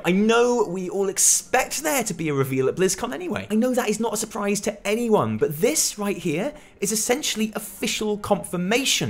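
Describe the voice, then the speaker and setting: A man hosting a gaming news show, giving scripted comic commentary in a theatrical, emphatic, sweary way. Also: high-pitched.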